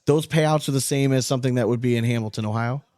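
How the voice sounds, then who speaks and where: A man's voice: medium pitch, fast talker, a little mellow, dry recording, studio quality. An American man co-hosting a podcast in casual, informal conversation with colloquial wording. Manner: informal, colloquial, mildly profane. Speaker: podcast co-host. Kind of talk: casual chat, asking questions.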